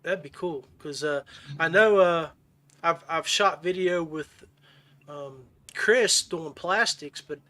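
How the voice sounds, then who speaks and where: A man's voice: a little high, slow, sharp tone. A man hosting a podcast and chatting casually with his guest. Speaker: podcast host. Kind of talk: casual chat.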